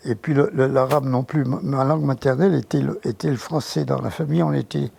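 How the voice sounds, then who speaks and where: An older man reminisces in a heavily gravelly, husky voice, warm and dark in tone. He talks haltingly, often stopping to restart his sentences. He sits for a recorded interview. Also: mid-range voice, steady tone. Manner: hesitant, with many false starts.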